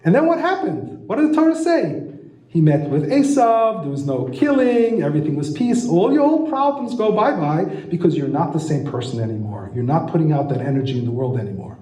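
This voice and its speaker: A man's voice: fairly high pitch, sing-song, brisk, mellow. A man giving an enthusiastic teaching lecture on astrology, often asking his listeners rhetorical questions. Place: lecture room. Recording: roomy echo.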